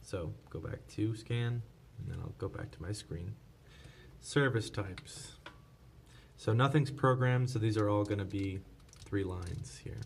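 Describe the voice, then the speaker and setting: Low-voiced man, casual tutorial, noisy background. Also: darkish tone. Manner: informal, unscripted step-by-step explaining. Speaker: presenter of product tutorials. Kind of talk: casual hands-on tutorial narration. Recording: clear background noise.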